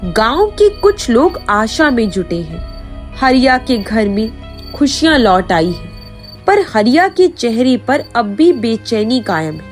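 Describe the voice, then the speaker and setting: A woman narrates a moral folk tale in a dramatic, scripted style. Her voice is fairly high and very unhurried, with soft music underneath. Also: big pitch swings. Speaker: story narrator. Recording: faint music behind the voice.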